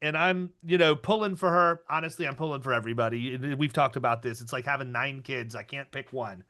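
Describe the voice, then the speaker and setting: A man hosting an insurance podcast, talking in an energetic, rambling way with frequent restarts. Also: medium pitch, brisk. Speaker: podcast host. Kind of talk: casual interview chat.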